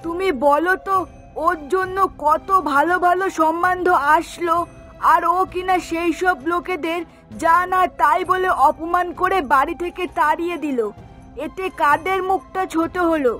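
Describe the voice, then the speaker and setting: A woman's voice: very high-pitched, fairly even intonation, normal speed, sharp tone. A woman voicing an exasperated middle-aged mother in a cartoon, complaining and scolding with emphasis. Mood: indignant. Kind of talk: Bengali cartoon voice acting. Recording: music behind the voice.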